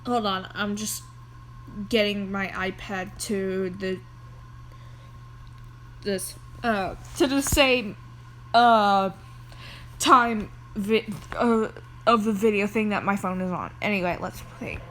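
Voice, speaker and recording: A woman's voice: mid-range voice, slow pace, pauses often, fairly bright tone, slight background noise, poor audio. A woman hosting a reaction video, chatting casually and reading on-screen text aloud.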